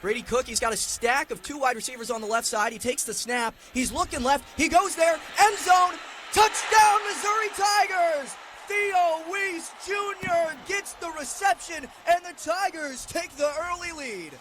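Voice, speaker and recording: A man calling live sports play-by-play for radio in an excitable way, raising his voice to a shout on big plays. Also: very high voice, moderate pace. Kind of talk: live radio football and basketball play-by-play commentary. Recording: clearly noisy live broadcast sound.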